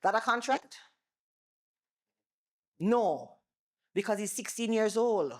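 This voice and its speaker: A middle-aged Jamaican woman, an attorney, giving a loud, animated seminar presentation with worked examples to a live audience on a microphone. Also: medium pitch, slow. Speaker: attorney and former bar president giving a seminar. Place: seminar room before an audience. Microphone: microphone she moves away from.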